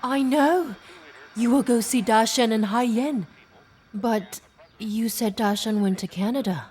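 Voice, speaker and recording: An actress playing an older man's gentle, sympathetic companion. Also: on the high side, a little slow, close to an everyday voice. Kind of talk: audio drama acting.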